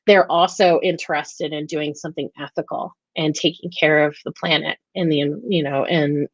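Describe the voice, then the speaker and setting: An American woman hosts a relaxed live chat, talking with enthusiasm and plenty of ums and restarts. Her voice sits on the lower side for a woman. The sound is dry and a bit muffled, like a lo-fi stream. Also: no room sound. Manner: chatty, enthusiastic, many fillers and restarts. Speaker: host of a weekly live talk and Q&A. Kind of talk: casual livestream chat.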